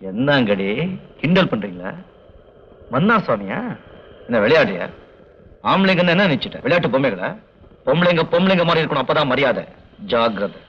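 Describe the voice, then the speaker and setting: A man's voice: medium pitch, sing-song, brisk, pauses often, a little hiss or hum, close to an everyday voice. An actor playing a stern, angry man who lectures young women in an old film. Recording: dull lo-fi film soundtrack.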